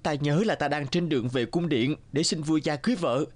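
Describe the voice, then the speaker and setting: A man voicing a courteous, earnest young prince in a fairy-tale cartoon, with a gallant, gentle manner. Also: on the high side, fairly quick, lightly acted. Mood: bewildered.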